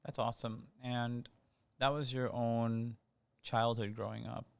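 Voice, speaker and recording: A man's voice: medium pitch, level tone, a little slow, vocal fry, smooth, dry recording. A man hosting an interview series, introducing the guest and asking friendly questions.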